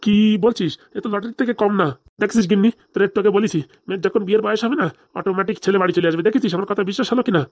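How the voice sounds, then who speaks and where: A man doing a rapid-fire older village father, cartoon. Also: high voice, darkish tone, dry recording, studio quality. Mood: excited. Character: older village father, easygoing, eager to marry off daughter. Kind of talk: fast comic cartoon dialogue.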